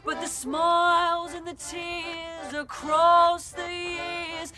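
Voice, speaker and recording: A woman's voice: very high voice, little pitch movement, very slow, music in the background, full character voice. An actress playing a sentimental washerwoman singing to herself in a radio drama. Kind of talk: a cappella singing.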